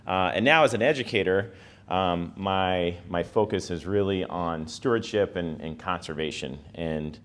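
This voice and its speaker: A hoarse man giving an informal presentation to an audience as a guest speaker, speaking in a plain, conversational way. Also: low-pitched, moderate pace, fairly bright tone. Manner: conversational, plain-spoken, self-effacing.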